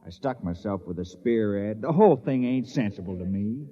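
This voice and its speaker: An actor playing a reluctant, guileless, folksy villager in an old radio drama, hesitant and plain-spoken. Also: male voice, on the low side, lively intonation, moderate pace. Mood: puzzled, earnest. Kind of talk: mid-century radio comedy-drama acting. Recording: muffled vintage radio recording.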